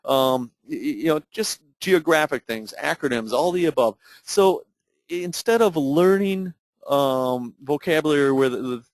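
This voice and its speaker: A man narrating an unscripted software tutorial in a halting, explanatory way with many fillers. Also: mid-range voice, dry recording, low-quality recording. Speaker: product demonstrator.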